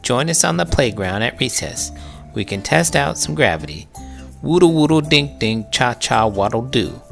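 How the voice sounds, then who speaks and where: A man voicing a bold, warm-hearted little schoolgirl who speaks up emphatically in a children's storybook. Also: mid-range voice, animated, moderate pace, vocal fry, soft background music, pitched above the performer's own voice. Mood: friendly.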